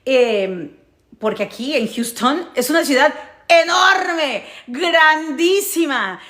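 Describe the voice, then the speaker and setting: A woman's voice: high voice, animated, slow, a bit bright, roomy sound. A woman with a Mexican Spanish accent tells a personal story casually to her followers, emphatically repeating phrases and checking in with her listeners. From United States, grew up in New Jersey. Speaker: storytelling vlogger.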